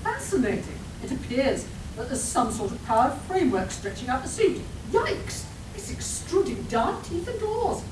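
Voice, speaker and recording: A woman playing a curious, breezily scheming adventurer scientist in a live radio play, explaining things briskly and matter-of-factly. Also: very animated. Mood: fascinated, excited. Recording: echoey hall, distant mic, noisy rumble.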